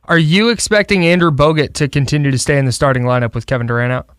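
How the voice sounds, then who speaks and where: A man's voice: a little high, fast, a bit bright, clean tone, dry recording, studio quality. A man co-hosting a sports radio show, casually interviewing a guest.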